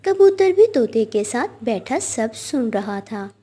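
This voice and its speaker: A woman's voice: a little high, big pitch swings, slow pace, warm tone, husky. A woman storyteller reading scripted narration for a children's cartoon.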